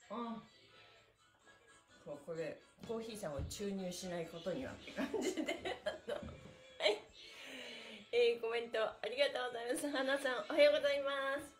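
A middle-aged woman teaches stretching on a casual livestream. Her voice is on the high side and a little hoarse, with lively swings in pitch. She is chatty, cheerful and very polite, heard from a little distance in an echoey room. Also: fairly quick, pauses often, faint music behind. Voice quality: slightly hoarse. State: hoarse the morning after a performance and party. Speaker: stretching instructor and livestream host. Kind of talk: casual live exercise lesson with counted chants. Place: small bare-walled indoor room. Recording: roomy echo from camera distance.

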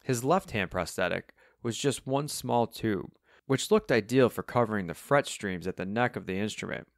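Male narrator for a novel, lowish voice, scripted storytelling, very dry close recording. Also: lively intonation, normal speed, creaky, dry recording. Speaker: audiobook narrator.

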